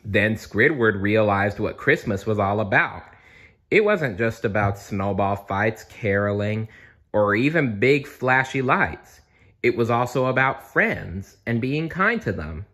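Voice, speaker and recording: Male read-aloud narrator: unhurried, animated pitch, expressive storybook delivery with playful asides. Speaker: storybook reader.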